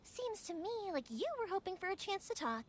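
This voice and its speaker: A woman's voice: extremely high pitch, very animated, brisk, slight background noise. A woman voicing a spirited, headstrong young fireworks maker in a video game, emphatic and impassioned. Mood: pleading, insistent. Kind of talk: English video game voice acting.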